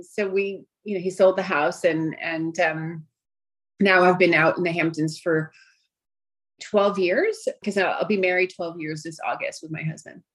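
Chatty New woman with a lowish voice. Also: breathy edge. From Brooklyn, New York. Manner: chatty, enthusiastic, many fillers like "you know". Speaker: restaurant owner, guest. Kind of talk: casual interview chat.

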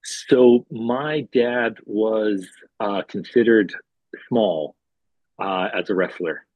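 A man in his forties, from Hawaii, a restaurant executive telling his life story in a relaxed, chatty way with fillers. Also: on the low side, drawn-out words, no room sound, highs cut off. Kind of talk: conversational storytelling.

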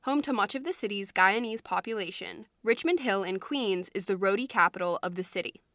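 A woman podcast host reading scripted narration, introducing each segment clearly. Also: mid-range voice, a little fast, fairly bright tone, no echo.

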